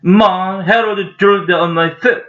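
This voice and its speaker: A man's voice: sits high, steady tone, a little slow, fairly bright tone, pitched above the performer's own voice. A man voicing a sulky, sarcastic older boy who whines and complains. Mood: whiny. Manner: whiny, complaining. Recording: dull lo-fi recording.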